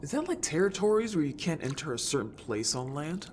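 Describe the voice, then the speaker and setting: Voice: mid-range voice, sing-song, a little fast, slight background noise, natural voice. An actor playing an upbeat, bold young merfolk scout in an audio story, chatty, casual and playfully defensive. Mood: curious, eager. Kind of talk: audio story character acting.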